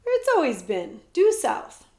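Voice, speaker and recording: A woman's voice: huge pitch swings, a little slow, a little mellow, roomy sound, heavily acted. Woman doing a brassy rustic teenage girl. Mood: confident. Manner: high, sing-song calling, rustic talk.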